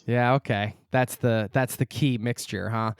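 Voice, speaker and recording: A man's voice: medium pitch, fairly even intonation, a little slow, clean tone, no room sound, studio quality. A man hosting a travel podcast, reading a friendly intro and then chatting casually with his guest. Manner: upbeat, friendly, joking with guest. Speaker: podcast host.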